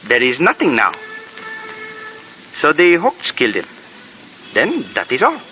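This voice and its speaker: Swooping, police sergeant. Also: male voice, fairly high pitch, unhurried, exaggerated voice. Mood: resigned, frustrated. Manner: non-native phrasing, flatly dismissive. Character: resigned, fatalistic Filipino police sergeant. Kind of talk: old-time radio drama acting. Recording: slightly noisy old radio recording.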